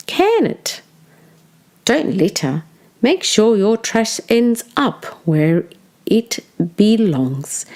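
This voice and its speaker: A woman reading a children's storybook aloud like a teacher, with expressive, deliberate phrasing for young listeners. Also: on the high side.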